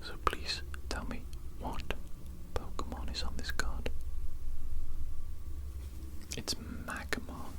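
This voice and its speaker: A woman voicing a taunting, condescending evil doctor in a breathy, unvoiced whisper for an ASMR roleplay, deliberate and fond of repeating phrases. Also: natural voice. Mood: teasing, hushed. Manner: slow, deliberate, repeats phrases, mock-scornful.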